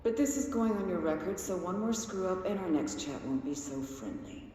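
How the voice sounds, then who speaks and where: A cold government interrogator in a video game. His voice sits a little high and he speaks with curt, dry authority. Long reverb and faint noise surround him. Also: lively intonation, normal speed, dark tone. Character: stern male government bureau interrogator, curt and menacing.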